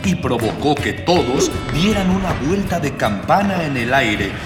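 A man's voice: a little high, very animated, measured pace, music in the background. An actor playing a florid, pompous male ghost who narrates a radio drama in mock-archaic phrasing. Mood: mock epic. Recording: echoey, long reverb.

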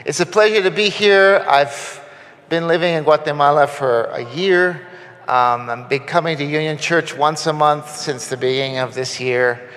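A man's voice: on the high side, normal speed, fairly bright tone, big-room sound. A man from Costa Rica giving a conversational sermon, with frequent fillers and restarts. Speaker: guest preacher. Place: church sanctuary.

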